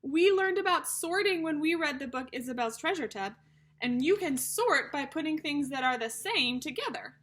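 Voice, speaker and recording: A woman's voice: high-pitched, lively intonation, normal speed, fairly bright tone. A woman teacher reading a picture book aloud to young children, explaining things simply and speaking to them directly as friends.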